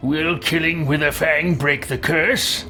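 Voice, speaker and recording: A man's voice: on the high side, fairly even intonation, measured pace. A man streaming a game and reading dialogue aloud in character. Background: game music.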